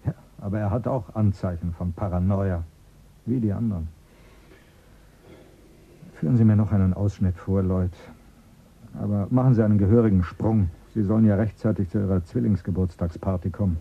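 An actor playing a pious, solemn male mission chief who speaks in measured words, in a radio play. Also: fairly low pitch, gravelly, husky. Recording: thin phone-like sound.

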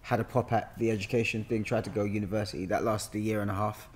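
A man's voice: lowish voice, flat delivery, fast, slight background noise. A man in his thirties with a London accent, telling stories casually and colloquially as an interview guest on a podcast microphone. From London, England. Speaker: guest actor. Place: bright indoor room with sofa. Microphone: podcast microphone on boom arm.

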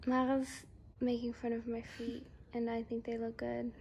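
A woman's voice: a little high, completely flat delivery, moderate pace, warm tone, muffled recording, a little hiss or hum. A young woman in her twenties chatting casually into a handheld phone camera for a vlog, playful and giggly. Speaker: vlogger.

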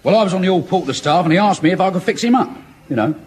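An actor playing a cheeky, streetwise young wheeler-dealer in a radio sitcom. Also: male voice, high voice, expressive, rapid-fire, darkish tone, slightly rough. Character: cocky young male spiv, fast-talking wheeler-dealer.

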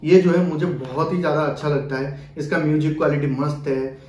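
A man's voice: fairly high pitch, fairly even intonation, brisk, darkish tone. A young man giving a casual, repetitive hands-on product demonstration to camera, mixing in English words. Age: young adult. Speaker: product review vlogger. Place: small bedroom, indoors. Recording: roomy echo.

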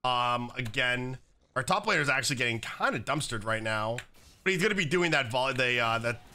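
A young man with a slightly raspy voice from being sick, chatting casually while commenting on his own gameplay at a desk setup. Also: medium pitch, animated, brisk, sharp tone, slight background noise. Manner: casual, chatty, many fillers like "like" and "um". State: slightly raspy, recovering from illness. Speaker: gaming YouTuber. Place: home gaming setup, indoors.

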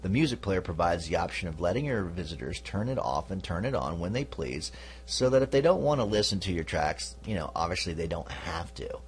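A man's voice: low voice, very animated, a little fast, slight background noise. A man hosting a podcast, giving an instructional talk casually, with "you know" asides. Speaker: podcast host.

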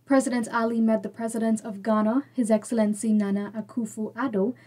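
Even-toned female news narrator. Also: a little high, brisk. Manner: clear, even newsreader delivery.

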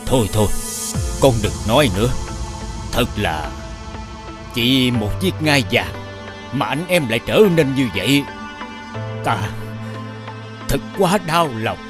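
Slow, sweeping old fairy-tale king. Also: mid-range voice, lively intonation, over music. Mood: heartbroken. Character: ailing old king, sorrowful aggrieved father. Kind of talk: dubbed animated fairy tale.

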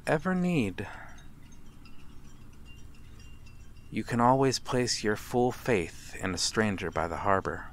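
A man playing a courteous, melancholy vampire in a dramatic ASMR roleplay, speaking formally and with measured pauses. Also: on the low side, a bit bright. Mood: tender farewell. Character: courteous, melancholy male vampire, lonely and mysterious. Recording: clear background ambient noise. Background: night harbor ambience.

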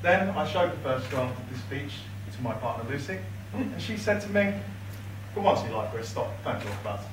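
A young man delivers a best man's speech with a dry, teasing wit, and his pitch rises and falls a lot. He sounds far from the camera in an echoey reception hall. Guests laugh behind him over clear room noise. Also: big pitch swings, a little fast. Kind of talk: wedding speech read from notes. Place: large reception room with high ceiling, indoors. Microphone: far camera across the room, no mic. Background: guests laughing, room ambience.

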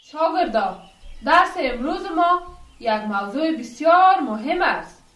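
An actress playing an earnest schoolteacher addressing pupils in a radio drama. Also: female voice, high voice, expressive, a bit bright, roomy sound. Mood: instructive. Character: earnest woman schoolteacher instructing her pupils.

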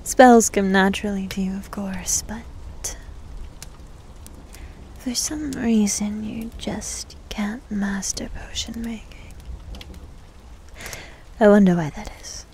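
A woman playing a needy, teasing, doting succubus demon servant in an intimate ASMR roleplay, breathy and mostly whispered, close to the microphone. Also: medium pitch, fairly even intonation, a little mellow, slight background noise. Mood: admiring, coy. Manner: coy, teasing, slow and drawn-out.

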